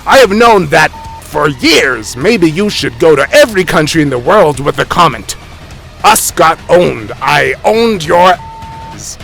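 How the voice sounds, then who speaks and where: Man acting a gravelly, boastful internet tough guy. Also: a little high, very animated, unhurried, a bit bright, heavily acted. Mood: boastful, defensive. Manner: indignant, bombastic, profane. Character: boastful, ranting, insecure internet tough guy claiming royalty. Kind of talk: dramatic reading of forum posts. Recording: music under the voice.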